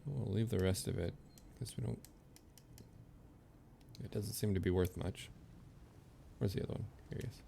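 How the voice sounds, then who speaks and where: A man's voice: on the low side, normal speed, vocal fry, pauses often, dark tone, a little hiss or hum. A man streaming a video game, commenting casually and reading game text aloud. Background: faint game music.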